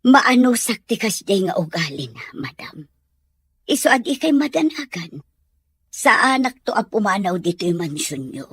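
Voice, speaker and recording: A woman's voice: mid-range voice, very animated, moderate pace, slightly rough, dry recording, heavily acted. A woman playing a patient, devoted housemaid and nanny in an audio drama, deferential and pleading. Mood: reassuring, deferential. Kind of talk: radio drama acting.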